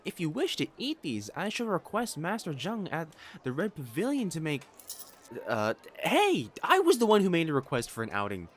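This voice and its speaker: Male actor for a prissy, lovestruck young celestial matchmaker in period romance audio drama: high-pitched, highly animated, pompous, prone to stammering. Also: moderate pace. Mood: disdainful, fussy. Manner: pompous, formal diction, stammering when flustered. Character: haughty, flustered, lovestruck young male divine matchmaker in imperial China. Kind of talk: romantic audio roleplay, theatrical monologue.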